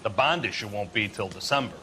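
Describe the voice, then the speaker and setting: An actor playing a matter-of-fact senior American police officer showing a rookie around in a video game. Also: male voice, lowish voice, animated, brisk, bright, forceful tone, slight background noise. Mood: brisk, informative. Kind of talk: expository video game acting.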